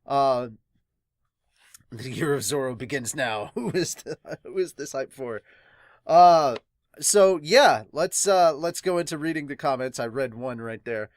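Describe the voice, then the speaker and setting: A man gives a casual, rambling review in an excitable way, often breaking into laughter. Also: medium pitch, a little slow, dry recording. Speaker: host of a manga review channel. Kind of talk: casual reaction and review talk.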